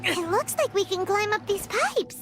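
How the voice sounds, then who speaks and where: Casting: tiny floating girl sidekick for fantasy game, very high squeaky voice, blunt and chatty, loud music underneath. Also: animated, normal speed. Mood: eager. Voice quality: squeaky, childlike. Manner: exclamatory, refers to self in third person. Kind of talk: animated fantasy game dialogue. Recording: music behind the voice.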